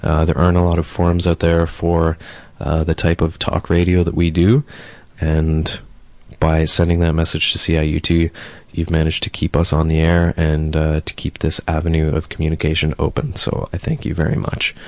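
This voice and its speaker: A very deep male voice, hoarse from a cold, hosting a talk radio program. He reads news copy steadily, then moves into loose, unhurried chat full of small fillers. The studio sound is close and dry. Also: monotone, dry recording. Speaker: radio show host.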